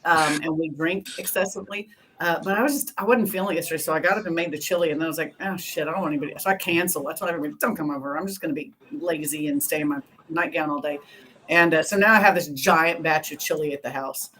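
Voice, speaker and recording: Woman, 50s, from Texas, lowish voice, crude joking podcast banter. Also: quick pace, fairly bright tone, poor audio.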